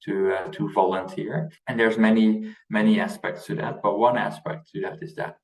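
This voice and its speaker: A man's voice: fairly low pitch, steady tone, normal speed, a little mellow, echoey room, far from the mic, rough recording. A young man with a Dutch accent, a native Dutch speaker, answering questions as an interview guest in a conversational way. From Netherlands. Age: young adult. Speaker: interview guest, youth organization leader.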